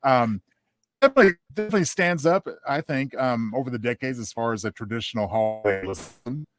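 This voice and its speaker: A man co-hosting a casual chat show, speaking in a measured, polite way. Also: medium pitch, lively intonation, dry recording, soft background music. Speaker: show co-host.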